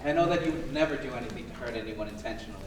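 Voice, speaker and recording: A man's voice: medium pitch, racing, background noise, natural voice. A man playing an anguished, protective father who works as a prison guard, in a staged musical reading. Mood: earnest, protective. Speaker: musical theatre actor-singer. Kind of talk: live staged musical reading, spoken and sung. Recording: echoey stage from far off, music behind.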